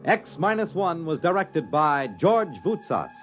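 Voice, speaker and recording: A dull vintage radio recording with music behind. An American man announces in a polished 1950s style, his voice fairly high and lively. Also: measured pace.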